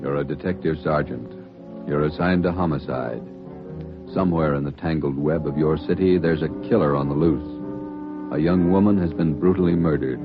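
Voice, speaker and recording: A man giving solemn, deliberate scripted narration as an old-time radio drama announcer. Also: rumbling low. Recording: muffled old radio recording. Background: music.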